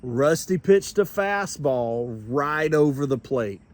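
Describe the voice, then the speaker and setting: An older man reading a children's storybook aloud with expressive, deliberate storytelling. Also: fairly high pitch. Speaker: grandparent storyteller. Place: outdoors.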